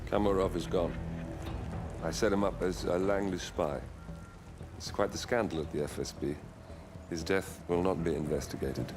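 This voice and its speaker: An actor playing a cold, commanding shadowy operative in a video game, speaking in terse, deliberate, controlled lines. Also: male voice, deep voice, natural voice. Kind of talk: cinematic video game acting. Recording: rain and loud music behind.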